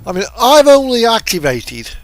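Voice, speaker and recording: A man's voice: very high-pitched, big pitch swings, moderate pace. A man giving casual, rambling gameplay commentary, hesitant and thinking aloud as he goes. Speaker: host of a truck simulator gaming video. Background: music from an in-game radio.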